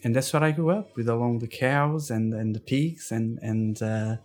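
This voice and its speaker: A man from Portugal with a Portuguese accent, recounting his life in a casual interview, halting with frequent restarts and fillers. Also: mid-range voice, steady tone. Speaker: interview guest. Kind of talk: casual storytelling.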